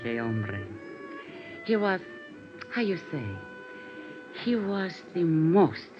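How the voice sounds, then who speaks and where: Lilting, coy Latin bar hostess. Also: female voice, on the low side, slow. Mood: playful. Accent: Latin American Spanish. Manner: broken English, lilting and expressive. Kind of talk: 1950s radio drama acting. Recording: dull vintage radio recording with music.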